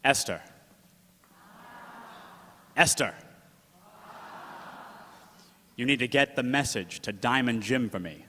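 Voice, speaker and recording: A man's voice: mid-range voice, expressive, measured pace, pushed and bright, a little hiss or hum. Man playing slangy old-time gumshoe, echoey hall. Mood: urgent. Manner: slangy wise-guy talk. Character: folksy 1940s gumshoe cousin, slangy, fond, protective man. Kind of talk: 1940s radio drama acting, narration and dialogue.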